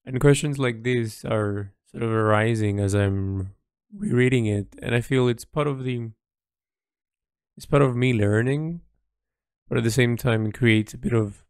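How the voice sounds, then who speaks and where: A man recording a reflective, unscripted audio diary as an artist, speaking in a halting, thoughtful way with pauses mid-phrase. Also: fairly low pitch, a little mellow, smooth, dry recording, clean studio sound. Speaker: artist keeping an audio log.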